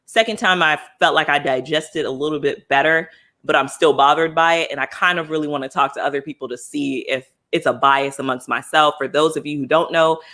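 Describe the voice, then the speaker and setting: A man from the United States hosting a casual book club discussion, thinking aloud with frequent fillers and restarts and swinging between excitement and thoughtful pauses. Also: a little high.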